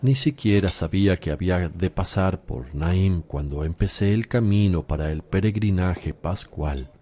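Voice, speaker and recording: A man playing Jesus in a religious radio drama, a calm, solemn teacher who speaks in a measured, firm way. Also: low voice.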